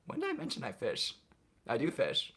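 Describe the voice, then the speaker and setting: A young man hosting a casual livestream, reading viewers' comments aloud and answering them off the cuff in a relaxed way. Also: medium pitch, normal speed, husky. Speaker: livestream host answering chat.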